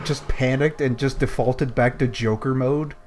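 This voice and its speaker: A man's voice: medium pitch, steady tone, normal speed. A man chatting casually about a game as a panelist in a group discussion. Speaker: podcast panelist. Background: background music.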